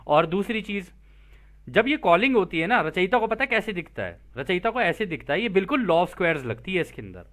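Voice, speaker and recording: A man's voice: high voice, very animated, fairly quick, a bit bright. A man teaching spiritual material, reading aloud and explaining it informally, checking in with listeners through rhetorical questions.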